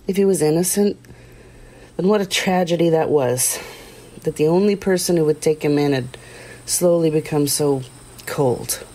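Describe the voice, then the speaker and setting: An actress playing a plain-spoken, guilt-ridden small-town woman giving halting, confessional testimony in an audio drama. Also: deep voice, warm tone.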